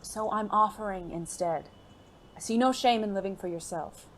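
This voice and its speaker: A performer playing a gentle, refined gentleman companion in an audio drama, speaking formally, earnestly and in a measured way. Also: medium pitch, natural voice. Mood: tender, earnest. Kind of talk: intimate audio drama dialogue. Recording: faint noisy background ambience.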